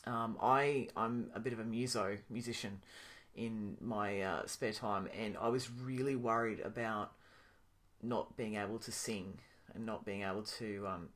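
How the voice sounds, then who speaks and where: A young man talking casually to his camera in a video diary, pausing often with ums and restarting his sentences. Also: fairly low pitch. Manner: relaxed, thinking aloud with many ums and restarts. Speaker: vlogger.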